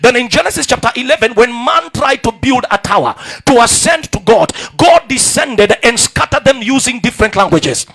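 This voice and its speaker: A young Kenyan man preaching a sermon in a large church hall on a handheld mic, calling out to the congregation and rising into shouts. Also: very high voice, lively intonation, moderate pace, creaky, hardly any pauses, soft background music. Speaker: pastor. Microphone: handheld microphone.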